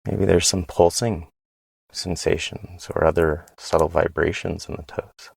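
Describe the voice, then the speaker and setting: A man leading a guided body scan meditation in a gentle, unhurried way, pausing between phrases. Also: low-pitched, fairly even intonation, gravelly, breathy edge, no echo.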